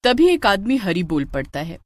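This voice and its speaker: A woman storyteller delivers dramatic scripted narration. Her mid-pitched voice moves with lively intonation in a dry, close recording. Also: normal speed, no room sound.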